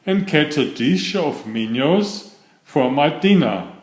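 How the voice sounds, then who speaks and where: Contented gentleman frog for a storybook, male reader, genteel musing, measured pace, roomy echo. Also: on the high side, expressive. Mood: contented planning. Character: contented gentleman frog planning a fishing trip.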